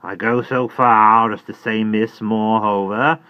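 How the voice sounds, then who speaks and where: A trembling manservant, as a male narrator puts him on holds forth in a slow, pompous, preachy drawl. His voice sits well above the reader's own and comes out loud and earnest. The recording is muffled and lo-fi. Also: on the low side, fairly even intonation, pitched above the performer's own voice. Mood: solemn. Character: solemn trembling Cockney manservant, uneducated.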